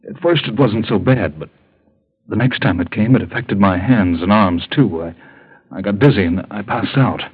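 A terrified bedridden husband. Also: male voice, lowish voice, moderate pace, breathy edge. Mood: weary. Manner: pleading, panicky, stammering. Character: frightened bedridden husband, grown man, fearful and volatile. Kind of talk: 1940s radio drama acting. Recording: muffled old radio broadcast.

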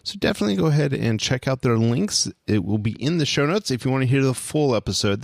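A man's voice: mid-range voice, creaky, dry recording, studio quality. A man hosting a podcast and introducing an episode. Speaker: podcast host.

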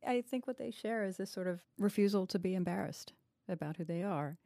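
A woman hosting a literary podcast, speaking in a measured, articulate and analytical way. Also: medium pitch, gravelly, warm tone, dry recording, clean studio sound. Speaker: podcast host, interviewer. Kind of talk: literary interview and podcast narration.

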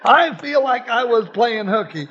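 An actor playing a folksy, excitable deputy and sidekick with a country drawl and chuckles, in a 1950s radio western. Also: male voice, very high voice, measured pace, big-room sound, highs cut off, full character voice. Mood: eager. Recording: hissy old radio transcription.

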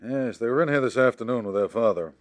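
An actor playing a hard-boiled but sympathetic police commissioner in an old-time radio mystery. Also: male voice, medium pitch, expressive, fast talker, no echo, close to an everyday voice. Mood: grave, sympathetic.

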